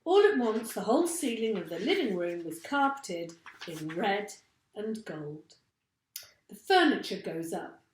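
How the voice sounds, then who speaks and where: Animated woman reading a story, echoey room. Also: medium pitch, measured pace, slight background noise. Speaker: teacher reading aloud. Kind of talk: expressive children's story narration.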